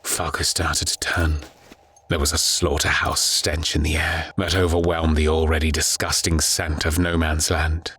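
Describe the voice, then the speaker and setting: A man narrating a scripted horror audiobook in a grave, measured storytelling manner. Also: very deep voice, little pitch movement, fairly bright tone, husky.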